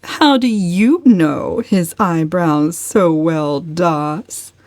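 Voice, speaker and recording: A woman's voice: on the low side, extremely slow, darkish tone, no room sound. Woman voicing a catty young storybook cousin. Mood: needling, catty. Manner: haughty, needling. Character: catty, faintly malicious young female cousin.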